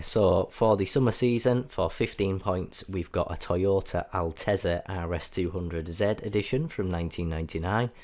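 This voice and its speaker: A man with a slightly croaky voice from a cold, giving a chatty, upbeat voiceover commentary over gameplay as a gaming channel host. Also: low voice, a little mellow. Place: voiceover recorded apart from the gameplay.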